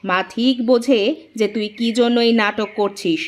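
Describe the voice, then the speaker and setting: A jealous, scheming daughter-in-law from a cartoon family drama, acted by a woman. She speaks in a high voice with an insinuating, wheedling manner, flattery laid over spite. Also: moderate pace, close to an everyday voice. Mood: mocking, spiteful.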